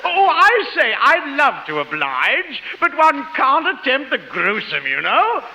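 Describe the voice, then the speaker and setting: Over faint radio hiss, a fussy British professor in a piercingly high, sharp voice. He is pompous and forever bewildered, exclaiming in flowery words in the manner of 1930s radio comedy. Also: wildly swooping pitch, slow, exaggerated voice, rough recording. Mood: affronted, prim. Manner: pompous, flowery wording, exclaiming in mock dismay. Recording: hissy 1930s radio broadcast.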